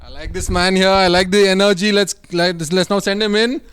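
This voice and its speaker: A man's voice: high-pitched, fairly even intonation, brisk, fairly bright tone, smooth. A young man hosting a comedy audition sketch, playful and teasing. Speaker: host.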